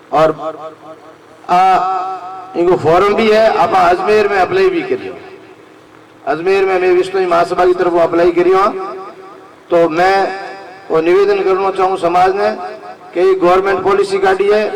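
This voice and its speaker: A man with a Rajasthani accent speaks in a high voice to a community gathering. He makes emphatic, repeated appeals as a leader. The microphone sits far from him, with a clear crowd sound around. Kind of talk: public speech to a community gathering. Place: large fair gathering. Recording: distant microphone amid an open crowd.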